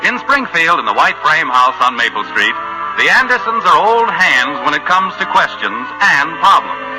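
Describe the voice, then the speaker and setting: A man's voice: a little high, big pitch swings, normal speed, poor audio. A man as a polished, genial American announcer narrating a 1950s radio show. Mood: genial, presentational. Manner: polished, presentational. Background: music.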